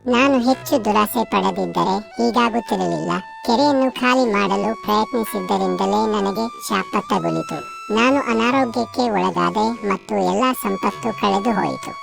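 A woman's voice: mid-range voice, moderate pace, vocal fry, over music. A woman voicing a greedy village wife in a cartoon moral story, speaking insistently. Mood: remorseful.

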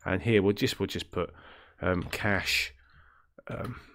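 Man with a deep throaty sick voice. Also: steady tone, takes it slowly, creaky, fairly bright tone. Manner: rambling, self-correcting, many ums and uhs. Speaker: game development tutorial host. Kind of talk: screen-recorded coding tutorial.

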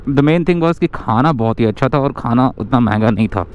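A man's voice: mid-range voice, animated, moderate pace, lo-fi sound, a little hiss or hum. Chatty man vlogging on a scooter. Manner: chatty, mixes Hindi and English, jokey complaints. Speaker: motovlogger. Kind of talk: casual vlog chat while riding. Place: outdoors on a scooter on hill roads.

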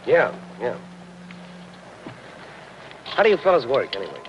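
An actor playing an inquisitive, dryly persistent middle-aged newspaper reporter in a 1950s television crime drama. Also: male voice, medium pitch, wildly swooping pitch, a little slow, dull audio. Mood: curious, insistent. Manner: questioning, dry, probing. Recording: noisy old 1950s film soundtrack.

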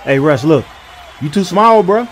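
A man's voice: fairly high pitch, animated, measured pace, a little hiss or hum. A man doing a comedic sports dub, swearing and complaining in brash, slangy speech. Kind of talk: comedic sports voiceover dubbing, profane.

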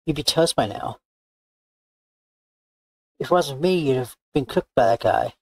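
A man's voice: mid-range voice, lively intonation, unhurried, husky, no room sound. A man voicing a cocky, bossy young woman tracker, boastful and blunt, reading visual novel game dialogue. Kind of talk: character read-aloud of game dialogue. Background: background music.

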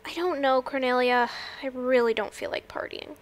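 Woman acting a high-voiced, glum teen girl. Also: moderate pace, no room sound, natural voice. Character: glum, reluctant young teenage new girl at school. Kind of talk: comic fandub acting.

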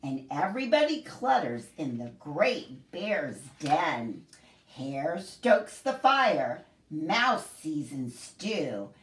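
Woman, middle-aged, children's story reader, expressive swooping intonation, slightly low voice, roomy distant recording. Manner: animated, deliberate, sing-song storyteller delivery. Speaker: children's library story time host. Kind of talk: expressive picture-book read-aloud for young children. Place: small home room, indoors. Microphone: camera a few feet away. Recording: roomy echo, distant camera microphone.

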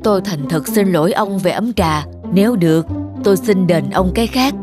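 Woman voicing a courteous middle-aged gentleman guest. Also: mid-range voice, normal speed, over music, natural voice. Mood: apologetic. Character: courteous middle-aged male friend, admiring house guest. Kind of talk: dubbed moral folk-tale cartoon dialogue.

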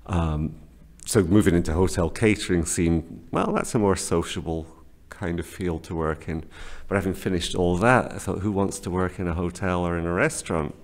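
Low-voiced British man, halting speech. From Scotland, raised in northern England. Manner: hesitant, many fillers and restarts. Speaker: guest, teacher trainer. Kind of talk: casual interview. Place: small classroom office. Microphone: studio mic on desk stand.

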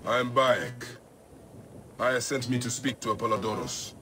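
A man's voice: medium pitch, normal speed, sharp tone, slight background noise, natural voice. An actor playing a stoic, determined male warrior in an ancient Egypt video game, speaking tersely and directly. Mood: resolute.

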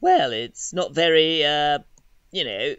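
An actor playing a polite, easily flustered young British gentleman suitor in a period audio drama, formal and hesitant. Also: on the high side, lively intonation, takes it slowly, clean tone, no room sound, dull audio.

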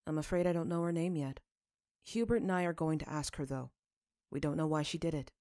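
Woman doing a tired kind dad in a story, low voice, gentle and measured. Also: dry recording, studio quality. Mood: weary. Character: gentle, weary, earnest father and town lord.

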